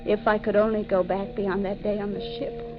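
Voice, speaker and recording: A woman's voice: medium pitch, flat delivery, brisk, music in the background, close to an everyday voice. An actress playing an anguished woman with amnesia who has killed, in brooding, melodramatic 1940s radio mystery style. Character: anguished amnesiac murderess, haunted and despairing. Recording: muffled old 1940s radio recording.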